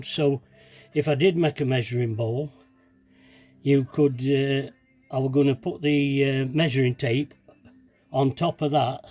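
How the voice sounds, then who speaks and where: Mellow-voiced man with a slight sniffle. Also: medium pitch. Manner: chatty, self-deprecating, thinking aloud while working. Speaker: DIY video maker demonstrating fibreglassing. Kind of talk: casual hands-on tutorial narration.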